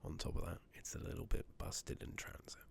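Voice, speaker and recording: A man speaking softly and often whispering close to the microphone, giving gentle ASMR commentary on a game he is playing. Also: a little fast. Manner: hushed, gentle, drifting between murmur and whisper. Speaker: ASMR gaming streamer. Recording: steady background noise, keyboard clicks.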